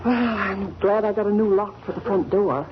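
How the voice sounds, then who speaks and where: An American actress playing a calm, practical, kindly mother in a 1940s radio sitcom. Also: medium pitch, normal speed, lightly acted. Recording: noisy, muffled old radio recording.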